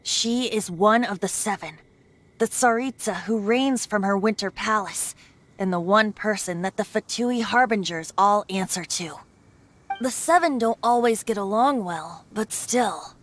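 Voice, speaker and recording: A woman playing an ageless wind god in the guise of a young male bard in a video game, light and boyish, wistful and gently wry. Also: mid-range voice, measured pace, close to an everyday voice. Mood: explaining, sober.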